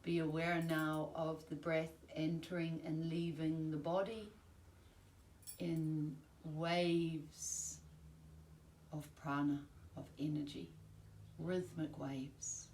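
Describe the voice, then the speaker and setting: A woman teaching yoga nidra, giving calm, soothing, measured guided-relaxation instructions with long pauses. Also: sits low. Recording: roomy, distant mic, faint background noise.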